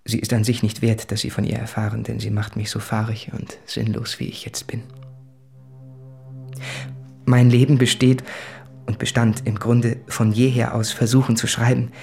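A man's voice: mid-range voice, completely flat delivery, normal speed, vocal fry, mellow, soft background music, close to an everyday voice. An actor playing an anguished, introspective man of about thirty reading out his confessional letters in a literary radio drama. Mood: self-deprecating.

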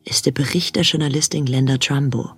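A man's voice: medium pitch, steady tone, fairly quick, breathy edge, soft background music, natural voice. An actor playing a pensive, disillusioned filmmaker, a man who narrates a radio drama in a reflective, questioning manner. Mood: matter-of-fact.

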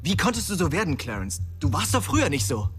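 An actor playing a stern, embittered young gang boss, curt and commanding, in a crime radio drama. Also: male voice, a little high, huge pitch swings, quick pace, faint music behind. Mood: angry, commanding.

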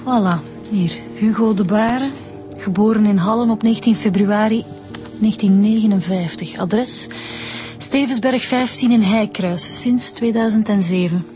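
A woman's voice: medium pitch, moderate pace, dark tone, faint music behind, lightly acted. A woman acting as a polite, earnest and slightly hesitant police inspector in a naturalistic radio drama. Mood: earnest, concerned. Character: conscientious, worried female police inspector, polite and persistent. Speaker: actor in a radio serial.

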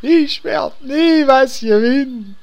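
An actor playing a hapless, stubborn paladin with a Berlin accent in a comedy fantasy audio drama. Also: male voice, very high voice, slow, gravelly, lo-fi sound, heavily acted. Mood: whiny, dejected. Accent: Berlin German. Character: hapless whiny male paladin, stubborn, self-pitying.

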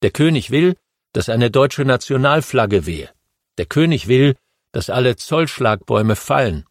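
A man's voice: medium pitch, expressive, measured pace, no room sound, studio quality. A man voicing a male state minister who reads out a royal decree in a raised, solemn, emphatic voice. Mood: proclaiming.

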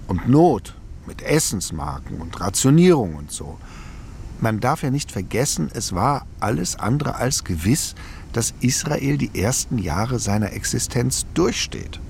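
Creaky-voiced older man, lively intonation. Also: medium pitch, slight background noise. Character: older man recalling a childhood among survivors' families. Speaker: eyewitness narrator in a radio documentary drama. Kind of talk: reflective first-person recollection, German radio play.

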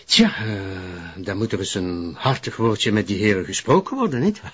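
An actor playing a formal, self-assured public prosecutor with a Flemish accent in a crime drama. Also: male voice, on the low side, animated, moderate pace, husky. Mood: deliberate. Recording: muffled, lo-fi drama soundtrack.

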